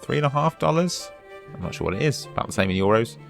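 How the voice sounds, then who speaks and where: A man's voice: mid-range voice, lively intonation, quick pace, gravelly, soft background music. A man from the UK commenting casually over a game, chatty and urging his character on, while losing his voice. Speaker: let's play host.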